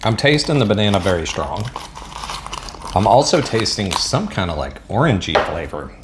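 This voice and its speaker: A man's voice: fairly low pitch, big pitch swings, moderate pace, background noise. A man with a Southern US accent, chatting casually and rambling with plenty of ums while reviewing food to camera. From Southern United States. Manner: rambling, chatty, self-deprecating, frequent um and uh. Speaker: MRE taste-test vlogger. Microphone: mic set up at a table.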